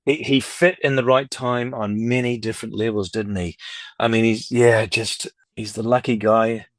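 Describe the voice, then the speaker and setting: A middle-aged man in a relaxed interview conversation, with a medium-pitched voice in a close, dry recording. He rambles in a talkative way, telling stories and quoting people as he goes. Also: normal speed, no echo. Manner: talkative, rambling, anecdotal, quotes others' speech. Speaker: podcast guest, record collector and dealer. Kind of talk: casual interview chat.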